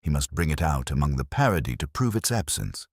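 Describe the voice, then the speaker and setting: A man narrating as a mild, thoughtful inspector from a mystery storybook, with a very deep voice. He reasons softly and deliberately, with expressive rises and falls. The sound is dry. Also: husky, no echo, full character voice. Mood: quietly reasoning. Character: mild, thoughtful male English police inspector. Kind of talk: storybook character dialogue.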